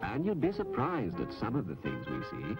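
A man reading scripted public information narration in an instructive, measured manner on an old film soundtrack. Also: on the low side, huge pitch swings, creaky, low-quality recording. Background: music.

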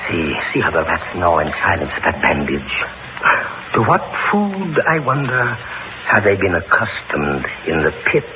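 Tormented dungeon prisoner, melodramatic old radio. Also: male voice, deep voice, wildly swooping pitch, normal speed, background noise. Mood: grim calm. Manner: theatrical, melodramatic, formal diction. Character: tormented male prisoner in a horror dungeon, desperate, brooding. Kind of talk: 1940s radio drama acting. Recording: tinny old radio, music behind.

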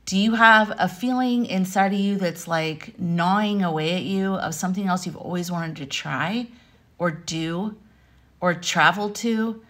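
An American woman in her thirties or forties from Arizona, chatting to camera at home in an animated, rambling, conversational way as she tells personal stories. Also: fairly low pitch, measured pace. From Arizona, United States. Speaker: vlogger and life coach. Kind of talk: casual personal storytelling to camera. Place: home room with wooden beams, indoors.

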